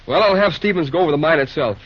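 An actor playing an earnest, businesslike railroad boss in an old-time radio drama. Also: male voice, a little high, lively intonation, fast. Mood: confident, businesslike. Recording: dull lo-fi old radio recording.

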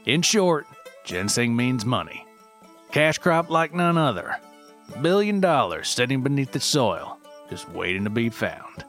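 A man reading a scripted documentary-style story in a deadpan, measured, mock-serious manner. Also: mid-range voice, faint music behind. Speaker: writer and narrator.

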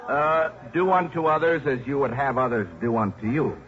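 An actor playing a genial, optimistic college priest with a polite, cheerful manner in a 1950s radio drama. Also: male voice, mid-range voice, normal speed, lightly acted. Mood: genial, hopeful. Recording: muffled old radio recording, crowd behind.

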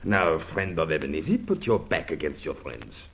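An actor playing a suave, mock-courteous foreign villain in a radio drama, speaking formally and with polite menace. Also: male voice, a bass voice, sing-song, moderate pace, vocal fry, a little hiss or hum, full character voice. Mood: polite threat. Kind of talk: old-time radio drama acting.

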